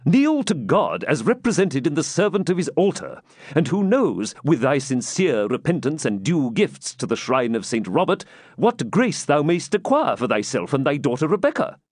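Man playing a pompous, raised-pitch medieval prior. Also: big pitch swings, normal speed, a little mellow, no room sound, studio quality. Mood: smug, unctuous. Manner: pompous, preachy, quoting scripture in Latin. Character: vain, pompous, covetous medieval churchman, male.

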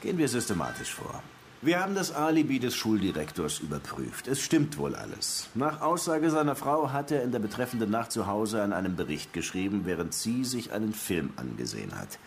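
An actor playing a dogged, lovestruck police detective in a German radio crime drama, wry and slangy. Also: male voice, on the low side, sing-song, fairly quick, a little hiss or hum. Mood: brisk, confident.

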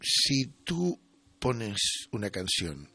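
Bright, husky-voiced male radio host. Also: fairly low pitch, sing-song, very slow, no room sound. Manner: curious, chatty, many fillers. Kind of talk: radio interview.

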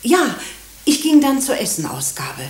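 Hesitant nurse, echoey room. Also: female voice, high-pitched, big pitch swings, unhurried. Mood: hesitant, unsure. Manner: hesitant, careful. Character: hesitant, dutiful female hospital nurse under questioning. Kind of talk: German radio drama acting. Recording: roomy echo with faint hiss.

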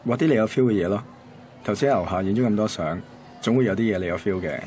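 A man's voice: lowish voice, fast talker, darkish tone, slight background noise, lightly acted. An actor playing an easygoing, reflective young man from a radio drama, with a Hong Kong accent. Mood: easygoing, coaxing. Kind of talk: radio drama dialogue and narration.

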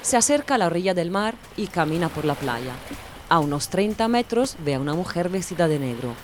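A woman narrating an audiobook in a calm, measured manner. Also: lowish voice, noisy background. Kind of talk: audiobook reading.

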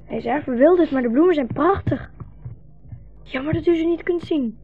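A woman's voice: high-pitched, normal speed. A woman playing a tired, earnest, polite thirteen-year-old boy in a 1960s radio play. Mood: eager. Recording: dull 1960s radio recording, music behind.

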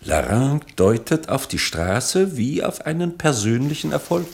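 A man narrating a radio play from a literary script, with measured, dramatic phrasing. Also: medium pitch, big pitch swings, gravelly, a little hiss or hum.

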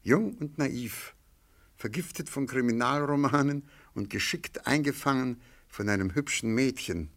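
An actor playing a shrewd amateur sleuth in a radio play, speaking in a measured, politely ironic way. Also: male voice, mid-range voice, a bit bright, close to an everyday voice. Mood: explaining coolly.

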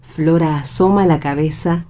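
An Argentine woman serves as narrator for a radio play reading. Her voice is low for a woman as she reads the scripted stage directions. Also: fairly even intonation, a little slow, dark tone.

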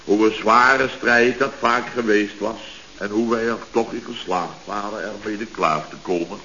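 A man's voice: on the low side, moderate pace, lightly acted. A man performs a middle-aged company boss from a 1970s radio comedy, full of bluster and easily muddled. He rambles, restarts and repeats himself with great self-importance. The old recording is dull, with a faint hiss behind him. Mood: pompous reminiscing. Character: blustering, scatterbrained middle-aged male building-firm boss. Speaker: actor in a radio comedy serial.

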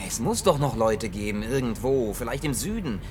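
An actor playing an awkward, grumbling drifter in a German science-fiction radio play, speaking colloquially, hesitant and gruffly excitable. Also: male voice, medium pitch, moderate pace, distant mic, soft background music, lightly acted. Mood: awkward, subdued. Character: awkward, grumbling male drifter, last man on earth.

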